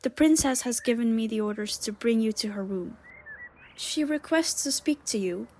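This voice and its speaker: A courteous female palace servant in a fantasy audio roleplay, acted by a woman. Her voice sits high, and she speaks with formal, deferential politeness. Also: animated, normal speed, darkish tone. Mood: deferential, firm.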